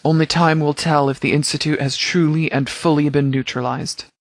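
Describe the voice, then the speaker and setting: A man playing a composed, pragmatic military commander in a video game, speaking in a formal, measured, tactical way. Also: on the high side, fairly bright tone, dry recording, close to an everyday voice. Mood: firm, measured. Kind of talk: video game dialogue acting.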